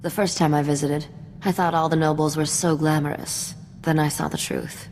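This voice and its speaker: A cool, worldly underworld contact in a video game. Her low voice is knowing, cynical and matter-of-fact, heard with long reverb over faint noise. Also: little pitch movement, normal speed, a little mellow. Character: cool, worldly, shrewd smuggler's contact, a woman.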